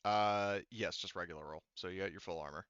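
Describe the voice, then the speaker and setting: A man's voice: low-pitched, fairly quick, a bit bright, lo-fi sound, a little hiss or hum. A man narrating a tabletop roleplaying game as game master, casual and often profane.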